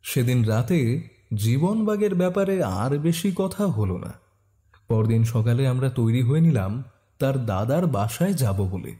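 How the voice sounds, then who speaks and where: A man giving expressive scripted narration of a detective story. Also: medium pitch.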